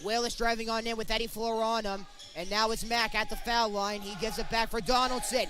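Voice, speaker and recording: A man's voice: sits high, fairly even intonation, fairly quick, music in the background. A man working as a sportscaster, delivering play-by-play and scripted reporting.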